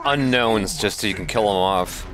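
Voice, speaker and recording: A man's voice: on the low side, normal speed. A man in his thirties hosting a casual reaction show, talking into a headset mic. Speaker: reaction channel host. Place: small indoor studio. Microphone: headset microphone. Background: game soundtrack music.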